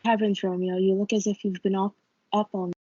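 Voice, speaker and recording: A woman's voice: medium pitch, little pitch movement, brisk, very noisy, natural voice. A young woman reading a cautious, fatherly friar in amateur reader's theatre. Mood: surprised. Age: teenager. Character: cautious fatherly male friar giving counsel. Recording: dull lo-fi audio.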